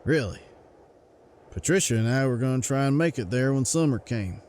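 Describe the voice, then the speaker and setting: A man voicing a gentle, guilt-ridden rural hunter in a motion comic, with folksy, plain speech. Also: medium pitch, expressive, moderate pace, slight background noise, lightly acted. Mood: troubled, recounting. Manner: folksy, ungrammatical country speech. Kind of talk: comic book voice acting.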